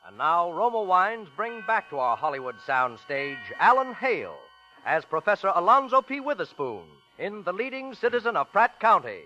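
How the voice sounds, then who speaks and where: A man's voice: high voice, very animated, normal speed, bright, forceful tone. A man announcing a radio show with a dramatic 1940s introduction. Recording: muffled old radio broadcast with music.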